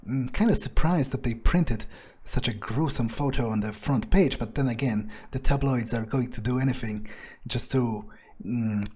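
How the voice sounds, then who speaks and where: A man's voice: mid-range voice, darkish tone, slightly rough. A man playing a cynical, crude gangster turned vampire, thinking aloud in character in a sardonic, hesitant mutter during a video game. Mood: darkly amused.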